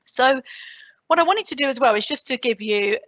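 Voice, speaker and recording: A woman with a London accent gives a conference presentation over a video call. She is chatty and loose, fills pauses with "um"s, and throws in small self-deprecating asides. The audio is slightly dull and dry, like a laptop microphone. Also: high voice, lively intonation, a bit bright, no echo. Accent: London English. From England. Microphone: computer mic on a video call.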